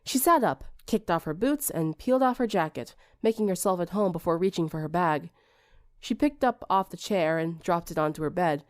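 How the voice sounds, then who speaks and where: A woman reading a story aloud as an audiobook narrator, in an expressive scripted style. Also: on the low side, no echo, clean studio sound.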